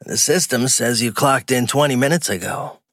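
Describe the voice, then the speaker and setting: An older male site worker in a crime audiobook, acted by a man. He sounds perplexed and practical, with his voice lifted in pitch and a close, dry sound. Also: moderate pace, a bit bright, no room sound. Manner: perplexed, questioning. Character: older male recycling-site colleague, puzzled, businesslike.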